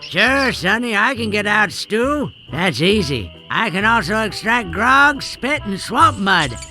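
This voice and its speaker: A man's voice: high-pitched, sing-song, takes it slowly, sharp tone, faint music behind, full character voice. An actor playing an eccentric, hard-of-hearing old laundryman in a pirate video game, eager and muddled. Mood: eager, cranky. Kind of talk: cartoonish video game voice acting.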